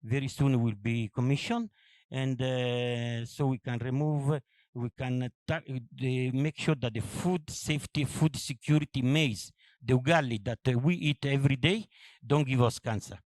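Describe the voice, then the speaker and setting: A man's voice: mid-range voice, fairly even intonation, a little mellow, dry recording. Italian-accented man on a panel. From Italy. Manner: halting, non-native phrasing, laughs at own story. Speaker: company CEO on a business panel. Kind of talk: panel introduction.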